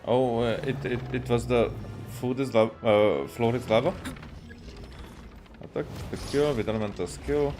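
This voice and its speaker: A man's voice: mid-range voice, normal speed, darkish tone. A man streaming a video game, giving casual off-the-cuff commentary in muttered reactions. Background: game music.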